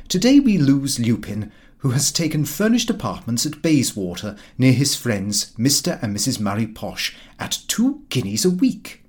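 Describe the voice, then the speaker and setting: Husky, highly animated male audiobook narrator. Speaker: audiobook reader. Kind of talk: scripted narration of a comic diary novel.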